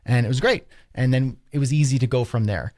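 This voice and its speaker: Casting: male Canadian podcast co-host, informal and slangy, tells anecdotes in an animated, conversational way. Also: medium pitch, a little fast, dry recording, studio quality. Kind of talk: casual anecdotal podcast chat.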